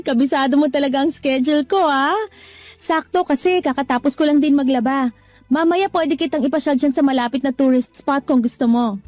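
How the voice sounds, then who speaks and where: A woman's voice: high-pitched, moderate pace, a little mellow, soft background music, natural voice. An actress playing a practical young woman in a Tagalog radio drama, teasing her partner and then scolding him for quitting his job. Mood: affectionate. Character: young woman working abroad, practical, a little irritated.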